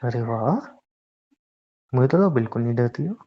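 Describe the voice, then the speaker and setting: A man's voice: medium pitch, lively intonation, moderate pace, lightly acted. A young man playing a teasing, boastful newlywed husband in casual, bantering audio-drama dialogue. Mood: playfully bossy. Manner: informal, bantering. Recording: dull lo-fi phone-call style audio.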